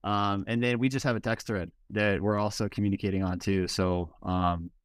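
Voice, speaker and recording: A man's voice: on the low side, fairly even intonation, normal speed, clear, resonant tone, no echo, studio quality. A male guest pastor explaining plainly and practically in a casual podcast conversation.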